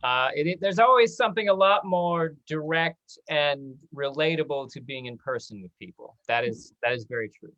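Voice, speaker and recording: A host of a casual online talkback, speaking in a halting, conversational way like a drama teacher. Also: medium pitch, a bit bright, muffled recording.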